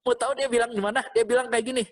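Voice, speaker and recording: Animated male pastor preaching conversationally. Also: extremely high pitch, racing. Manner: interactive, often checking with listeners using short questions; animated, exhorting, repeats phrases. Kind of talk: informal conversational sermon to an online congregation.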